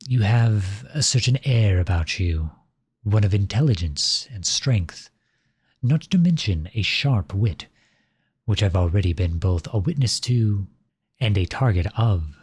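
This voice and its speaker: A man playing a flirtatious, silver-tongued royal at a masquerade ball in an ASMR roleplay, speaking in courtly, formal, flattering phrases with a husky voice. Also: on the low side, measured pace, dry recording. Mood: earnest, coaxing. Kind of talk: ASMR roleplay, scripted romantic monologue addressed to listener.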